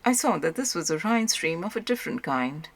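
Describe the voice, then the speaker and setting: A woman with a low-pitched voice narrates an audiobook. She reads literary prose from a script, as a narrator of written work. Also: big pitch swings, a little fast.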